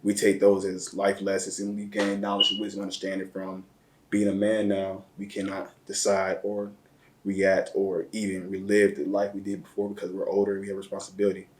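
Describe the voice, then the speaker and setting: A man from the area, telling his life story as an interview guest in slang, often repeating words. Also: low voice. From Chicago area, Illinois. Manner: slow, flat delivery with repeated words and slang. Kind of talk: reflective personal storytelling. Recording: roomy echo.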